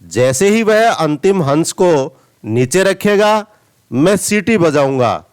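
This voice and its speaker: Slow-speaking wise old goose , as a man reads him. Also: on the high side, expressive, clear, resonant tone. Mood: calm instructing. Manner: measured, instructive explaining. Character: wise old male goose, patient, cautioning uncle.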